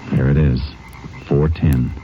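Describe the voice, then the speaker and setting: An actor playing a tough, businesslike Texas Ranger in clipped 1950s radio drama style, on a vintage recording. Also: male voice, rumbling low, fairly even intonation, takes it slowly, a little hiss or hum. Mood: tense, alert. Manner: clipped, businesslike, sure of himself. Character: tough, terse Texas Ranger lawman leading a manhunt. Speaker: lead actor and narrator of a radio crime drama. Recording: muffled vintage 1950 radio recording, loud music.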